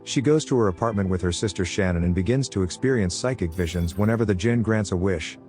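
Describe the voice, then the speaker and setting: Low-voiced sardonic man narrating over music. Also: fast, darkish tone. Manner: sardonic, flippant asides. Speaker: movie recap narrator. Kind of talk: scripted narration of a film plot.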